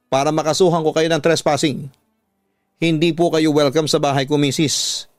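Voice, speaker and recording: A man's voice: a little high, no echo, natural voice. A man voicing a brave, composed woman teacher in a radio drama, speaking firmly and sternly to protect a child. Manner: firm, calm, stern.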